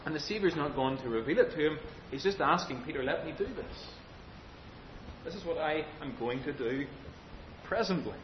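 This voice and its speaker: A man preaching an expository sermon, speaking with emphatic, deliberate phrasing, rhetorical questions and repetition. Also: animated. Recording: dull lo-fi sound, faint rumbling noise.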